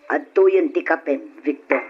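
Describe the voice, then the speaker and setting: A woman acting in an old radio drama as a nagging, exasperated wife with a husky voice. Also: low-pitched, measured pace, highs cut off, soft background music. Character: fed-up, scolding wife of a shiftless husband.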